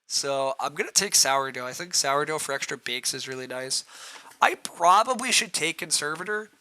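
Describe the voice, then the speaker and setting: A man with a hoarse, roughened voice talks through his moves on a live game stream. His tone is bright and edged, and he clears his throat now and then. He reasons aloud and answers viewers' comments. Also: a little high, animated, moderate pace. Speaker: streamer playing a board game.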